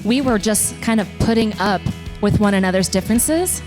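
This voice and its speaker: Woman sharing testimony, medium pitch. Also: moderate pace, a little mellow, faint music behind. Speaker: wife giving a testimonial. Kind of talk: personal testimony to a group.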